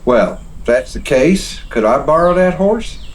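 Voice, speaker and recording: A man's voice: fairly high pitch, lively intonation, measured pace, voice pushed up. A man voicing a shrewd American general who questions in a dry, plainspoken way. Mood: wry.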